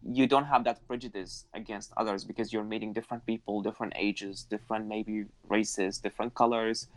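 A man tells his life story as an interview guest. His voice sits fairly low, and he speaks in an earnest, reflective way. He laughs easily as he talks. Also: fairly even intonation, a little fast, rough recording. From Iraq. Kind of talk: personal life story in conversation.

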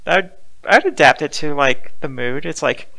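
A Middle Eastern woman co-hosting a casual podcast, trading dry deadpan quips, recorded at home on lo-fi gear. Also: sits low, a little slow. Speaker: podcast co-host. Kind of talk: casual chat.

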